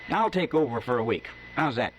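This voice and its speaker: A man's voice: mid-range voice, animated, fairly quick, slight background noise, natural voice. An actor playing a broke, cheeky young cowboy who talks his way into a cooking job, in a 1950s radio drama. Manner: easygoing, cheeky, chuckling.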